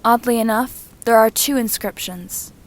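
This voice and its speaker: A woman reading a story aloud as scripted narration, in a measured, descriptive manner like an audiobook reader. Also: fairly high pitch. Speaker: reader and narrator of fan fiction.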